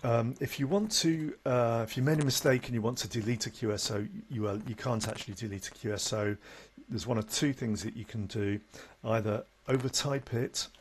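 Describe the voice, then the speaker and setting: A man presenting an unscripted, conversational software tutorial, explaining things step by step as an instructor. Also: medium pitch, steady tone, brisk. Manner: instructional, conversational, with tag questions. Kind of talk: unscripted screen-recording tutorial. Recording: noticeable background noise.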